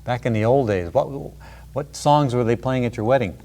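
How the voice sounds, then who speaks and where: A man's voice: mid-range voice, brisk, warm tone. A man interviewing an older couple, enthusiastic and full of exclamations and affirmations. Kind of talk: casual interview chat.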